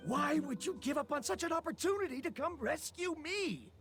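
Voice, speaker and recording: A man's voice: very high voice, lively intonation, moderate pace, vocal fry, music in the background, full character voice. A man playing an earnest, stubborn, rueful scholar in a video game. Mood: apologetic. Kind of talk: video game character acting.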